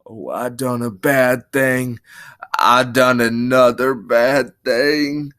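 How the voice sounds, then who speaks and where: Storybook role: simple-minded, childlike big man played by a man. Slow, earnest, pleading rural talk; eager, easily frightened. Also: fairly low pitch, pushed and bright, dry recording, clean studio sound. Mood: fearful, regretful.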